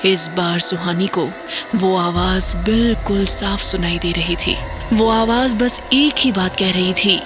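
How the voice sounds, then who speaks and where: A woman in a middle register tells a horror audio drama in a steady, measured way and builds suspense line by line. Music plays beneath her voice throughout. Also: mid-range voice. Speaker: narrator. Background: background music.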